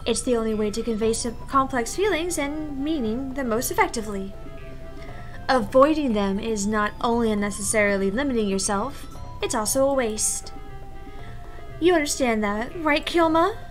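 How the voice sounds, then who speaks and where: A woman voicing a prim, shy high-school girl poet in a visual novel, formal in speech and stammering when flustered. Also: normal speed, soft background music, close to an everyday voice. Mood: indignant. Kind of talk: visual novel voice-over read aloud.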